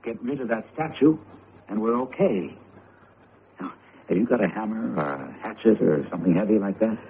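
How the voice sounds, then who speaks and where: An actor playing an earnest male professor and family friend in a vintage radio mystery, deliberate and theatrical in delivery. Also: on the low side, animated, vocal fry. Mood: determined. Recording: tinny, hissy old radio broadcast.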